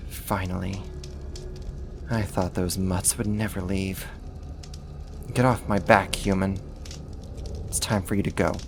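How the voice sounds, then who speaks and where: A voice actor playing a sadistic, haughty half-spider monster in an ASMR horror roleplay, talking slowly and condescendingly in a theatrical way. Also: low voice, steady tone, noisy background. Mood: irritated, dismissive.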